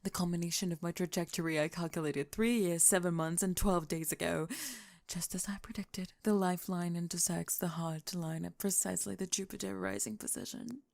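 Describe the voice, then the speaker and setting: A woman's voice: on the low side, steady tone, a little fast, vocal fry, a little mellow, no room sound. A woman playing an eccentric, analytical grandmother who speaks in precise, pompous scientific pronouncements for an ASMR comedy. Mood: smug certainty. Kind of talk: ASMR comedy roleplay acting.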